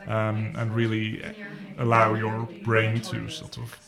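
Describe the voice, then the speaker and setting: A man, a panel guest who speaks haltingly with many pauses. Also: fairly low pitch, monotone, gravelly, a crowd in the background. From Wales. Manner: halting, lots of uhs. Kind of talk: panel discussion.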